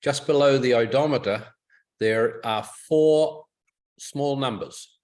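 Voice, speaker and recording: A man's voice: medium pitch, slow, a little mellow. A man giving informal technical advice on a group conference call, explaining things in a methodical, instructive way. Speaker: club technical expert advising a caller. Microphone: telephone or conference call line.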